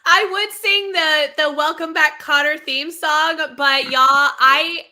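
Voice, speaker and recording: A woman with a lilt co-hosts a sports talk stream, high-pitched and very bright in tone. She is sick and losing her voice, yet chatty and affectionate throughout. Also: steady tone, takes it slowly. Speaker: co-host. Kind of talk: casual sports talk livestream.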